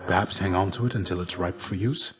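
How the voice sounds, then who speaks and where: A man voicing the shade of a legendary warrior in a video game, a gentle mentor who speaks in measured, formal, old-fashioned phrases. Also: low-pitched, close to an everyday voice. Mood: calm, advisory. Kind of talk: scripted game dialogue.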